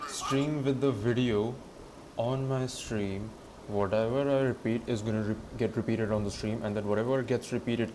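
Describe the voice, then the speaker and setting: A man's voice: fairly low pitch, noisy background. A young man streaming live, talking casually, repeating himself and swearing now and then. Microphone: headphones with monitoring.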